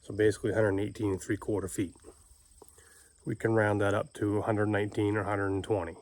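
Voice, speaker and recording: Male how-to host, lowish voice, plain-spoken and folksy, informal step-by-step delivery. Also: level tone, quick pace, darkish tone, no echo. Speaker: homestead video host and ham radio operator. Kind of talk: casual how-to explanation.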